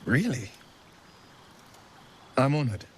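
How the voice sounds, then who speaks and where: A man's voice: medium pitch, very animated, slow, a little hiss or hum. A man playing a gallant, modest adventurer of noble upbringing in a fantasy game, speaking in a courtly, earnest and slightly bashful way. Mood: downcast, earnest. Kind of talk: cinematic fantasy game acting.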